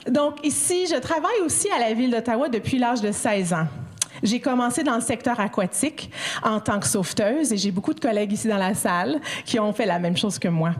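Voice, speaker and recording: Upbeat, joking woman emcee, slightly high voice. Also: a little fast. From Ottawa, Canada. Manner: chatty, jokey, laughs between lines. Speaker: master of ceremonies. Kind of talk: ceremony hosting speech. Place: city hall ceremony hall.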